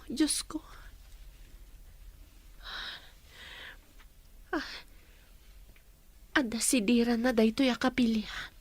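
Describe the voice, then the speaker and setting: A woman's voice: high-pitched, no room sound. A woman playing a pitiful young orphan, humble, grateful and plaintive, in an Ilocano radio drama. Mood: wistful, muttering.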